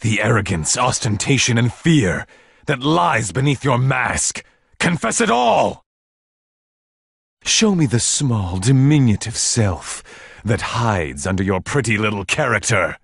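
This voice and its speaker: A man playing a menacing, sanctimonious leader in a video game, theatrical, condescending and deliberate, with music behind him. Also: medium pitch, very animated, creaky, a bit bright, breathy edge, no room sound, clean studio sound, full character voice. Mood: menacing, sermonizing. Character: menacing, sanctimonious male cult-like leader and manipulator. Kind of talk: video game cutscene voice acting.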